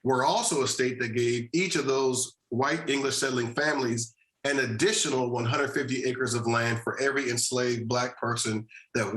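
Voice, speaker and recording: A man from New Jersey, a social justice advocate and guest, making his case in a measured, deliberate way and repeating key phrases. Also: medium pitch, bright, forceful tone, echoey room, low-quality recording. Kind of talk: earnest policy discussion.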